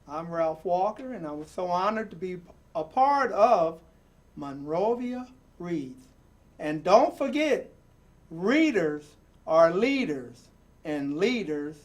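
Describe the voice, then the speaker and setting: Slow, high-pitched man reading aloud expressively. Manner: deliberate, emphatic, with repeated phrases and dramatic pauses. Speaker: storybook reader and presenter. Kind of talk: expressive read-aloud of a picture book with personal asides.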